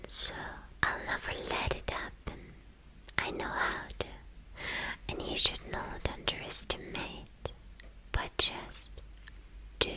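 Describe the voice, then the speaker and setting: A woman voicing a mad, cruel young villainess kidnapper in a whispered ASMR roleplay, coaxing and menacing in a breathy whisper. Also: very high-pitched, bright, forceful tone, heavily acted, low-quality recording. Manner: slow, coaxing, repeating words for menace.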